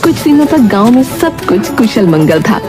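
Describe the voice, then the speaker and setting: A woman's voice: on the high side, big pitch swings, moderate pace. Woman narrating a cartoon story. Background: background music.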